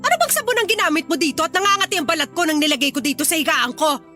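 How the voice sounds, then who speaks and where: A woman's voice: very high-pitched, animated, racing, bright, forceful tone, faint music behind, exaggerated voice. An actress playing a fussy, domineering older mother-in-law in a radio drama, scolding and complaining. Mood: irritated, scolding. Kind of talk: Filipino radio drama acting.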